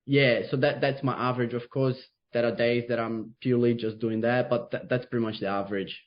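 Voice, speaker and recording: A young Brazilian man speaks English with a clear Portuguese accent. He talks with upbeat energy and loose phrasing, full of fillers. The video call audio is dull and lo-fi, and his pitch sits in the middle range. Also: little pitch movement, fairly quick. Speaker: real estate agent. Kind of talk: interview over video call.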